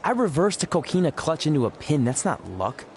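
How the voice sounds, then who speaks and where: A man's voice: on the high side, big pitch swings, brisk. A man acting as a cocky, paranoid, goofy wrestling champion, boastful and comically secretive in a scripted drama. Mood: boastful, defensive. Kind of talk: scripted comedic drama dialogue. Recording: faintly noisy location ambience.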